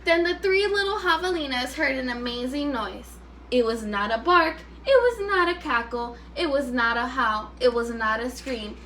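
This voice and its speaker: A woman teacher reading a children's story aloud expressively, deliberate and simple for young listeners. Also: sits high, lively intonation, pushed and bright, a little hiss or hum.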